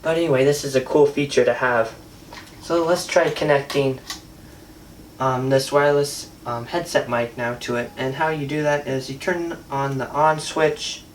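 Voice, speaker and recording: A man giving a casual, unscripted product review, talking in a halting, think-aloud way. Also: medium pitch, a little hiss or hum. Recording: distant mic, music in the recording.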